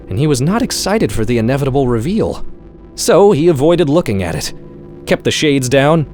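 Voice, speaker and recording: Male narrator, mid-pitched voice, wry and sardonic, scripted horror-comedy audiobook reading, soft background music. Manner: wry, sardonic, profane storytelling. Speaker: author-narrator reading own short story.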